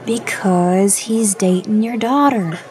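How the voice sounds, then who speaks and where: A woman playing a level-headed, guarded young adult daughter in an audio drama, measured and dry in manner. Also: medium pitch, a little mellow, soft background music, natural voice. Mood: composed.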